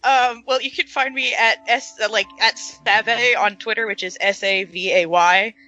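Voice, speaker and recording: A woman's voice: fairly high pitch, steady tone, normal speed, bright, forceful tone, highs cut off, soft background music. A woman from the US chatting casually as a podcast guest, giggly, with lots of fillers. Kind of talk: casual chat.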